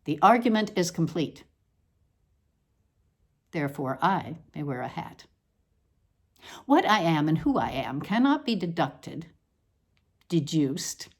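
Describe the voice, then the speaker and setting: A woman giving a deliberate, emphatic, declamatory reading of a scripted literary monologue, with measured phrasing. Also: low voice, big pitch swings, husky. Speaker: reader of a literary essay.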